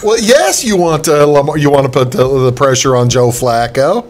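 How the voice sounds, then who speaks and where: Man, sports talk host, chatty, wry, slightly higher voice. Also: animated, normal speed, a crowd in the background. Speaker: show host.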